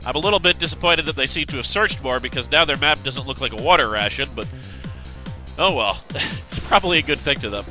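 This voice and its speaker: A man's voice: medium pitch, lively intonation, fast talker, sharp tone, faint music behind. A young man with a congested voice, casually rambling through a game news roundup with self-corrections and joking asides. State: congested, just woke up. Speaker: host giving game news. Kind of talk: casual commentary.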